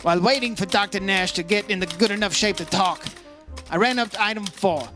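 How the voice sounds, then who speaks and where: A man playing a brisk, matter-of-fact insurance investigator in an old-style radio detective drama. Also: sits high, over music, close to an everyday voice. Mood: brisk, dry. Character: brisk male insurance investigator narrating his case. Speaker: actor playing the lead investigator. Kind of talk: 1950s radio detective acting, scripted narration.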